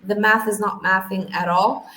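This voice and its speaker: A woman's voice: medium pitch, steady tone, moderate pace, pushed and bright. A woman, an English teacher answering interview questions casually, often repeating words as she thinks. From Algeria. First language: not English; English is her third language. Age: 30s. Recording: roomy echo, faint background noise.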